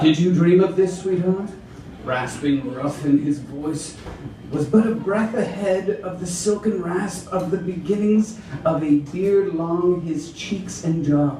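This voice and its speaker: A man performs a dramatic reading at a slow, drawn-out pace. His voice sits fairly high for a male reader and is heard from a distance in a very echoey room. The delivery is theatrical. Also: a little mellow. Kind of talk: mock-dramatic reading of erotic romance fiction. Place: roomy venue with a live audience. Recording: echoey room from far off, audience noise.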